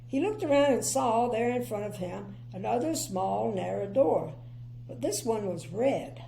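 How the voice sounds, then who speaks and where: A woman's voice: on the high side, lively intonation, unhurried, vocal fry, slight background noise, low-quality recording. An older woman, a grandmother, reading a children's storybook expressively and adding chatty, opinionated asides. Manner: chatty, gently opinionated commentary. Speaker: grandmother reading bedtime stories.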